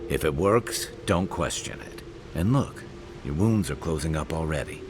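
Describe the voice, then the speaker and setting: An actor playing a gruff, practical companion in a fantasy game, terse and matter-of-fact. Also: male voice, extremely low pitch, animated, normal speed. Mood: steady, reassuring. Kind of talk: fantasy video game dialogue. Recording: music behind the voice. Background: game music.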